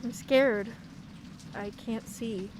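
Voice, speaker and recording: A woman's voice: on the high side, steady tone. Woman playing a tearful young daughter in heavy rain. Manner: halting, shaky, repeats words. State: near tears. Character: young woman June, upset daughter on phone. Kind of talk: radio play acting. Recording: heavy rain noise behind.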